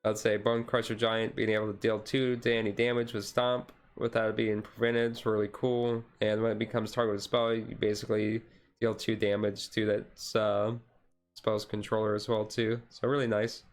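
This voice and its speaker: Easygoing man with a slightly rough voice. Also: lowish voice, level tone, moderate pace, faint music behind. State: voice slightly rough, being taken easy. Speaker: streamer. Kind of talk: casual ASMR card showcase.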